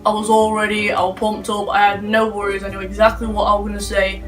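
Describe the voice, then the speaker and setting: Guy in his late teens, very even flat delivery, sounds a bit far from the mic with faint music under it. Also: high voice, quick pace. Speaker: interview guest. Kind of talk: answering interview questions.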